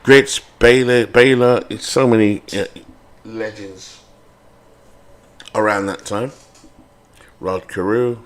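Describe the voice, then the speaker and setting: A man's voice: on the low side, steady tone, slow, long pauses. A British man hosting a baseball sim game channel, chatting casually and rambling with fillers and self-corrections.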